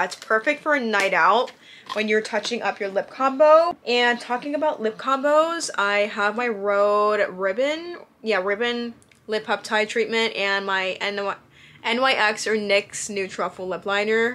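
Bubbly twenties woman, casual bedroom vlog chat, mid pitch, lots of fillers. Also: a bit bright. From New Jersey. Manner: chatty and bubbly, lots of likes and ums. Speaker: vlogger. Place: bedroom at home, indoors.

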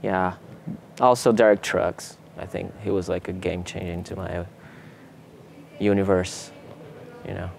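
A young Brazilian man, a native Portuguese speaker with a Brazilian accent, casually answering interview questions in a relaxed way while seated in a theater hall. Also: low-pitched, measured pace, gravelly, long pauses, slight background noise. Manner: relaxed, laid-back, many fillers like "you know" and "man". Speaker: guitarist.

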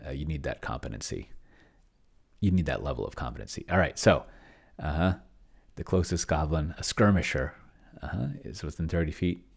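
A man's voice: very deep voice, normal speed, gravelly, slightly rough. A middle-aged man giving casual livestream commentary, rambling and thinking aloud as he types. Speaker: host of a solo tabletop game video.

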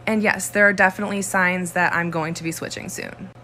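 A woman calmly explaining something in a short video monologue. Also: lowish voice, brisk, slight background noise.

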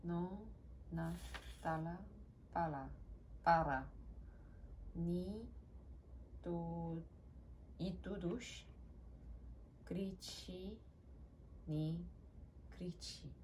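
A woman's voice: on the low side, extremely slow, pauses often, a little mellow, a little hiss or hum. A young woman with a Korean accent reading aloud carefully, word by word, as an ASMR practice session at a desk at home. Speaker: ASMR creator.

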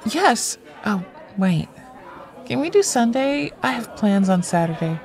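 A woman's voice: a little high, unhurried. An actress playing a blunt, playful woman near forty in audio drama dialogue, speaking directly. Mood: slightly flustered. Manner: direct, animated delivery.

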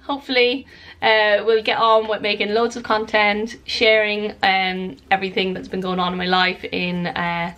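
A woman's voice: medium pitch, level tone, moderate pace, fairly bright tone, dull audio. A woman in her thirties, slightly snuffly with a cold, talking earnestly to camera in a cottage living room. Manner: earnest, open, talks with her hands. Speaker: vlogger. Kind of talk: casual vlog chat to camera.

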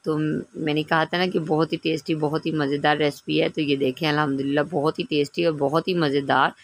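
Low-voiced woman giving a casual recipe tutorial. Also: flat delivery, brisk. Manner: instructive, repeatedly checking in with the listener. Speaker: home cooking channel host.

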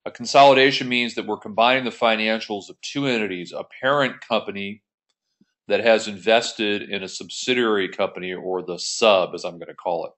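A man with a fairly low voice gives an explanatory screen-share tutorial. He talks as an instructor, taking things methodically in informal, plain wording. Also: brisk, fairly bright tone. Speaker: accounting instructor.

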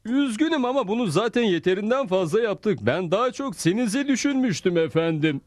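A man's voice: high voice, expressive, a little fast, no echo, clean studio sound. A man voicing an obsequious, fussy presidential aide in a dubbed cartoon, eager and deferential. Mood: eager deference.

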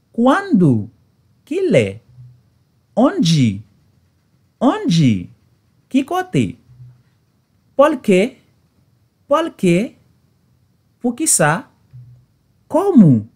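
A woman teaching phrases, saying each one twice slowly and deliberately, then giving the Haitian Creole translation; her first language is Haitian Creole. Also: mid-range voice, a little mellow, husky. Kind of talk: slow phrase drills, repeating each phrase.

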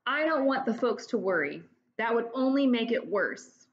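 A woman's voice: a little high, dull audio. A woman in her forties, a teacher, reading a children's chapter book aloud at home into a laptop webcam, voicing the dialogue expressively. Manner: slow, expressive storytelling with lively voiced dialogue. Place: home room, indoors.

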